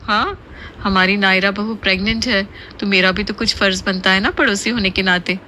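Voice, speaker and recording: A woman's voice: medium pitch, steady tone, moderate pace, pushed and bright, a little hiss or hum, close to an everyday voice. A woman voicing a chatty, boastful middle-aged neighbour aunty in a cartoon, talkative and insistent. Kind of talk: Hindi cartoon moral-story voice acting.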